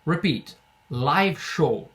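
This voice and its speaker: A young man from Brazil teaching an English lesson in Portuguese, explaining patiently and having students repeat phrases slowly. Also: fairly high pitch, expressive, fairly bright tone. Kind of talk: online language lesson.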